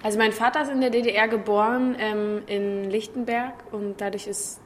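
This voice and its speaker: A woman in her twenties from Berlin with a Berlin accent, an actress chatting casually as an interview guest, hesitant, often trailing off softly. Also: mid-range voice, fairly even intonation, normal speed, slight background noise. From Germany. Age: 20s.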